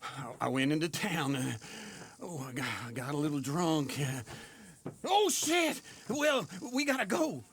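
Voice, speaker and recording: Uncle, a raspy old drunk. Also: male voice, on the high side, very animated, measured pace. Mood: flustered. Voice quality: raspy, creaky. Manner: whining and stammering. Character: lazy rambling old male outlaw, whiny drunk. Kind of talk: video game dialogue.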